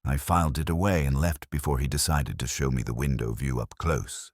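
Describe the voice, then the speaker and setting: A man's voice: very deep voice, fairly quick, no room sound, clean studio sound. A man reading scripted first-person hardboiled detective narration as an audiobook narrator.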